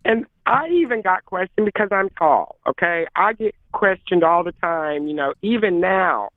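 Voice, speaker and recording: A woman on a call, talking emphatically in casual chat and often repeating herself, with a lower-register voice. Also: expressive, moderate pace, no room sound. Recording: tinny phone line, dry.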